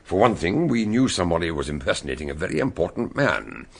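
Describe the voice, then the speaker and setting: An actor playing a shrewd male detective who calmly lays out how the crime was solved in a radio drama. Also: deep voice, creaky, breathy edge, heavily acted. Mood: explaining. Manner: explaining, measured.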